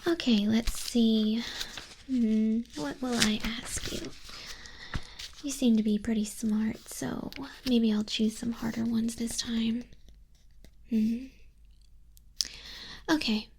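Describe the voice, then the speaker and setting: A woman playing an exacting female griffin flying instructor in a hushed, breathy, measured ASMR roleplay, close to a binaural microphone. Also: on the high side, steady tone, a little hiss or hum. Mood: businesslike, exacting. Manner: measured, unhurried, strict examiner tone. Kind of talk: soft-spoken, whispery ASMR roleplay.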